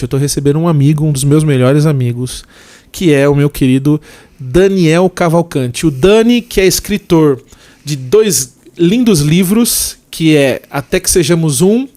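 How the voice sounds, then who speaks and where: A man hosting a podcast, introducing his guest in an effusive, chatty way. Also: on the high side. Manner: warm and effusive, quick asides. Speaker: podcast host. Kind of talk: casual interview chat.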